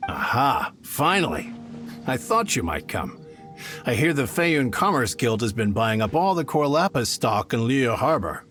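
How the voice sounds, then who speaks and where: An actor playing a shifty, greedy merchant in a video game. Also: male voice, mid-range voice, big pitch swings, a little slow, over music. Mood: sly. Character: shifty, greedy merchant man driving hard bargains. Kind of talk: video game character acting.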